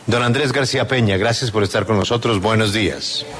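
A man's voice: fairly low pitch, fairly quick, noisy background. A man hosting a radio news show, formal and polite as he interviews a guest. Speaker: radio host.

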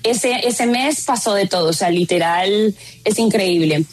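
Animated Colombian woman telling her story. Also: medium pitch, a little slow. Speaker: guest, content creator. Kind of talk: interview storytelling.

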